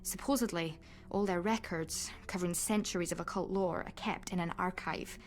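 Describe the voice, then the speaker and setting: An actress playing a wry, exasperated Scottish academy teacher in a video game cutscene, speaking colloquially with dry self-mockery. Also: female voice, lowish voice, moderate pace, lightly acted. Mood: explaining.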